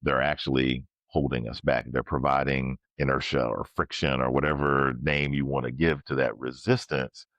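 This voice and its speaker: A man's voice: a bass voice, little pitch movement, normal speed, few pauses, fairly bright tone, no echo. A man co-hosting a podcast, speaking in a casual, reflective conversational style and explaining things analytically. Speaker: podcast co-host.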